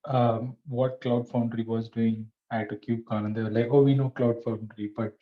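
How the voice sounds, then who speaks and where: Male, medium voice, conversational and halting meeting talk, lo-fi dullness. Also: mid-range voice, flat delivery. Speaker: meeting participant. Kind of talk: casual anecdotal meeting talk. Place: online video call. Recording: slightly dull call audio.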